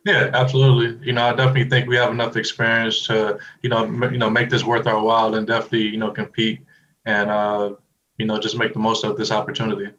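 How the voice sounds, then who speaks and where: A man tells a story quickly and in a rambling way, filling it with you-knows, in a low, level voice. His audio comes through dull and muffled over a video call. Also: completely flat delivery, a bit bright. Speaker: student team member. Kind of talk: casual storytelling in interview.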